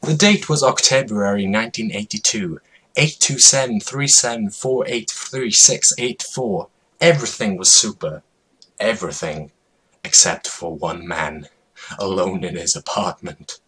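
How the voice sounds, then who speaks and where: A man with a husky voice giving a mock-dramatic, emphatic comedic reading of a story as its narrator. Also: fairly low pitch, measured pace, fairly bright tone, poor audio.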